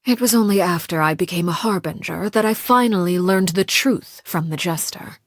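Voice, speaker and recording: A stern, dangerous woman guardian from a video game, composed and coolly detached. Her voice sits fairly low and is husky and breathy, softening at phrase endings. The recording is close and dry, and she speaks with formal, deliberate menace. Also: dry recording. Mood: reflective, grave. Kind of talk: video game character monologue.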